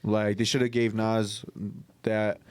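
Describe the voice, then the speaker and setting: A man's voice: fairly low pitch, monotone, slow, darkish tone, smooth, dry recording. A man hosting a casual podcast about music, giving opinions in slangy, informal speech. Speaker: podcast host. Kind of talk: casual group chat, music talk.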